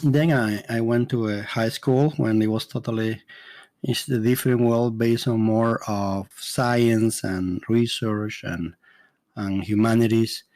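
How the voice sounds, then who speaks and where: A man's voice: on the low side, steady tone, measured pace, darkish tone, no echo. A middle-aged man from the Dominican Republic with a Spanish accent, hosting a podcast as an immigration consultant and speaking earnestly, with frequent fillers and restarts. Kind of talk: solo podcast monologue.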